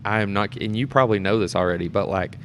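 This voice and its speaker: A man's voice: lowish voice, racing, a little hiss or hum. A man talking casually as a guest in an informal conversation. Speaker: community theatre performer, podcast guest. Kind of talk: casual chat.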